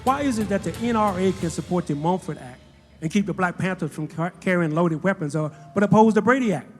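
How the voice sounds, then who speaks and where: Man acting a sarcastic narrator, higher-pitched. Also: a little fast, soft background music, close to an everyday voice. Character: bitterly sarcastic male narrator and social critic. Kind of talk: scripted audio drama monologue.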